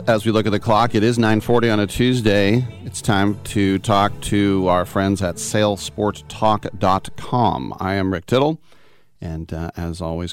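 A man hosting a radio sports talk segment, upbeat and loose, fumbling a little over his questions. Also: fairly low pitch. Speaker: radio host.

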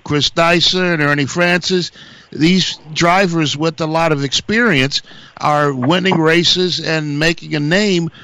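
Male interviewer, very slow delivery, faint background noise. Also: a little high, fairly bright tone, rough recording. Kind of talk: interview questions.

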